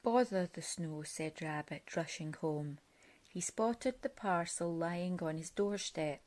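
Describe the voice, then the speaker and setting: A woman reads a picture book aloud for children. Her voice sits a little low, and she takes her time, shaping each phrase deliberately and expressively as a storyteller. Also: darkish tone.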